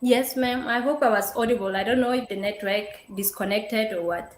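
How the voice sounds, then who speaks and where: A young woman from Lesotho whose first language is Sesotho, giving a halting webinar presentation over a video call, with many fillers and repeated words. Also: fairly high pitch, slight background noise. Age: young adult. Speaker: webinar speaker. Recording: roomy video call audio.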